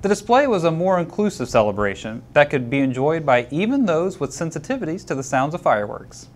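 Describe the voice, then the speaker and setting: A man's voice: a little high, sing-song, fairly quick. A man co-hosting a hobby news show, reading scripted segments with a touch of dry humor in banter. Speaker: show co-host.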